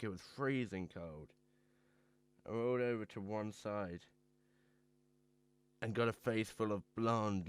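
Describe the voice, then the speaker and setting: A man playing a troubled, hard-drinking man who tells his own story in halting, haunted phrases for a radio drama. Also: fairly low pitch, smooth, dry recording. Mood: brooding. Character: troubled, drunken man confessing his ruin. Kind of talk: dramatic first-person radio drama monologue. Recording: music playing behind the voice.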